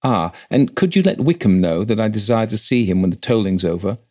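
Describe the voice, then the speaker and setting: A man's voice: fairly low pitch, lively intonation, brisk, darkish tone. Country rector, played by a male reader: authoritative, educated, formal and businesslike, for a storybook ghost tale. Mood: brisk. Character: brisk, educated, slightly impatient country rector.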